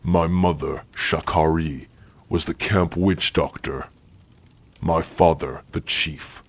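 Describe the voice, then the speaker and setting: A man voicing a stern, gruffly caring orc warrior-healer in an ASMR roleplay, speaking in a formal, commanding way. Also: rumbling low, steady tone, slow pace, heavily acted. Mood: gruff, guarded. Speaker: roleplay voice actor.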